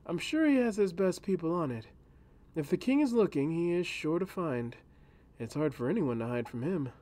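Gentle patient castle servant read by a male narrator for an audiobook; coaxing, lively intonation. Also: fairly high pitch, normal speed, pitched above the performer's own voice, natural voice. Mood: reassuring. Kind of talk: audiobook character voice.

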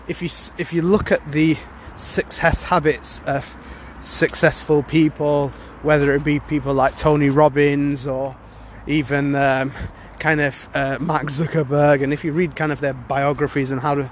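A man's voice: on the high side, level tone, moderate pace, hardly any pauses, a little hiss or hum. A man hosting a casual solo podcast, sounding congested from a cold and rambling with many fillers and restarts. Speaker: podcast host.

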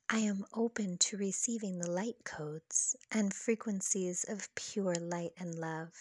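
A woman leading a scripted guided meditation with affirmations, speaking in a soft, breathy, soothing and measured way. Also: mid-range voice, no room sound, studio quality. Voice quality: soft, breathy, partly whispery. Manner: soothing, slow, measured delivery.

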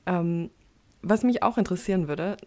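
A woman's voice: lowish voice, normal speed, darkish tone. A young woman hosting an interview in a casual, conversational way. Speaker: interviewer, host.

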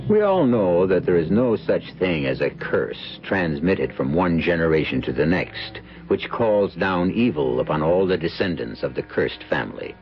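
A man's voice: deep voice, very animated, moderate pace, few pauses, soft background music. An American man hosting a radio drama, reading a scripted introduction in a theatrical, portentous manner. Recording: dull lo-fi radio recording.

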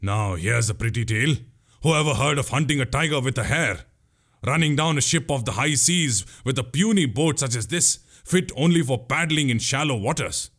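A man's voice: medium pitch, animated, moderate pace, fairly bright tone. A man playing a sceptical military commander in a historical tale, formal and mocking in manner.

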